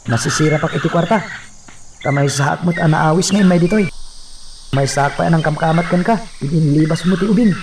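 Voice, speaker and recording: Guy as a worried pal, ilocano accent, high voice, anxious, kinda far off with noise behind. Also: brisk, close to an everyday voice. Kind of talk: Ilocano radio drama acting. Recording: distant, noisy, outdoor-like ambience.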